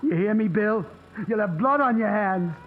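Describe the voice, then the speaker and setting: A man's voice: sits high, lively intonation, normal speed, narrow, tinny audio, slight background noise. An actor playing a desperate, stammering father who pleads for shelter in a radio drama. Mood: bitter, agitated.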